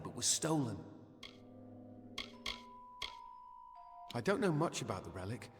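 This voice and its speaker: Eager, naive young dreamer, very animated. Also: male voice, a little high. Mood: informative, eager. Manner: impulsive, earnest, self-important, wavering. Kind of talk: video game character acting.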